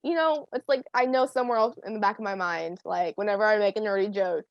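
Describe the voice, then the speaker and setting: A woman's voice: mid-range voice, fast talker, dry recording, muffled recording. Teen girl guest, chatty and filler-heavy, dry close sound. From United States. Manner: chatty, many fillers and laughs. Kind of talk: casual chat.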